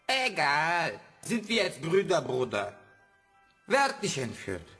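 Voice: mid-range voice, huge pitch swings, slow, a bit bright, soft background music, full character voice. An actor playing a tough but friendly street boy who talks in blunt, clipped urban slang in an audio drama. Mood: brash, chummy.

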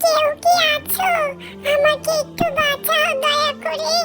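Tiny squeaky talking fish. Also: female voice, level tone, a little slow, sharp tone, faint music behind, full character voice. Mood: desperate pleading. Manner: plaintive, entreating. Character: pleading magical talking golden fish. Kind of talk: cartoon voice acting.

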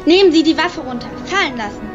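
An actress playing a brisk, authoritative policewoman in a radio drama. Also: sits high, lively intonation, dull audio. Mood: commanding. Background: loud music.

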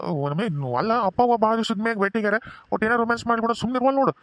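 A man's voice: high voice, lively intonation, racing, a bit bright, dry recording. A man playing a harried grown son who complains and apologizes. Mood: complaining.

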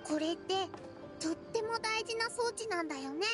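A woman's voice: very high voice, moderate pace. A woman voicing a small, innocent, eager little girl in an anime game, with childish, guileless phrasing. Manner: childish, guileless, refers to herself by name. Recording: very loud game music behind.

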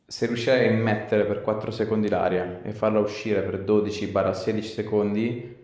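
A man's voice: lowish voice, steady tone, a little fast, darkish tone. A man in his thirties working as a chiropractor, giving casual instructions and chatting with a patient. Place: small indoor treatment room. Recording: roomy echo of a small clinic.

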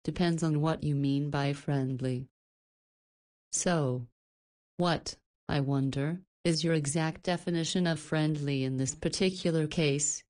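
A woman's voice: sits low, steady tone, a little slow, darkish tone. An actress voicing a teasing, confident, protective woman in a visual novel, with a knowing and forthright manner. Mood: playful teasing.

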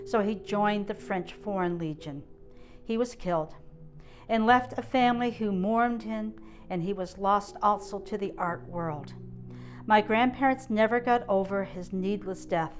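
An older woman, around her seventies, reading a written family memoir aloud indoors into a close mic. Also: mid-range voice. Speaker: guest reader. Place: indoor gallery room.

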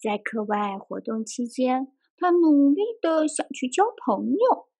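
A woman reading a children's picture book aloud in an expressive storytelling style. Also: high-pitched, measured pace. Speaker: storybook reader and translator. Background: music.

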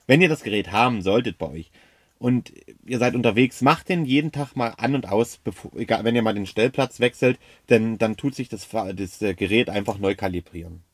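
A man co-hosting a camping vlog, chatting casually and enthusiastically from inside a camper van. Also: fairly low pitch. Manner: enthusiastic, slangy, talks straight to viewers. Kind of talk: casual chat.

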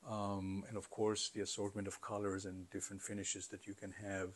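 A middle-aged man giving conversational, explanatory interview answers in a halting way, as a company founder. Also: on the low side, completely flat delivery. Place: carpeted listening room, indoors.

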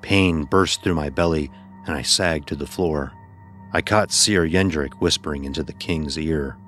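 Low male voice, grave horror narrator, measured, dramatic, faint music behind. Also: fairly bright tone. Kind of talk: dramatic first-person horror story narration.

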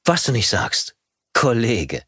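A man's voice: mid-range voice, very animated, takes it slowly, sharp tone, slightly rough, dry recording, clean studio sound, exaggerated voice. A male narrator voicing an irritated, mocking young male programmer who snaps in a raised voice. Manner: snappish, sarcastic, raised voice.

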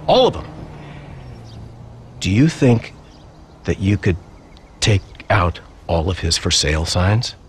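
A low-voiced, needy American realtor. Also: male voice, very animated, slight background noise, exaggerated voice. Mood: awkward pleading. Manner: salesy, rambling, halting. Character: washed-up, needy, boastful real estate agent.

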